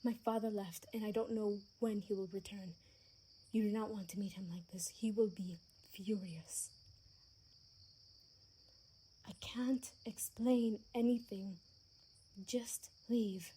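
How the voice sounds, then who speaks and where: Sheltered vampire daughter, played by a woman: gentle, young, unhurried and warm-toned, for audio roleplay. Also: medium pitch, fairly even intonation, breathy edge. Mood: anxious, urgent.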